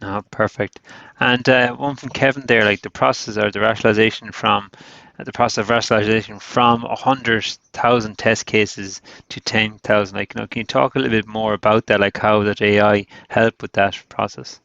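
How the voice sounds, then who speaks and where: A male moderator reads out audience questions, hesitating and using many fillers. His low voice is gravelly and flat. The audio is dry and muffled. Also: normal speed, no echo. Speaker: webinar moderator.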